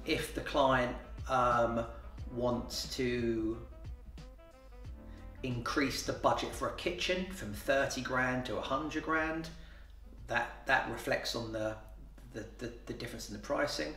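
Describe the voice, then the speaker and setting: A man's voice: medium pitch, slow pace, a bit bright, faint music behind. A man, answering interview questions plainly, with fillers and restarts. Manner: plain-spoken, matter-of-fact, with repeated fillers and restarts. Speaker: renovation company boss. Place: office, indoors. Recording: roomy office sound from far off.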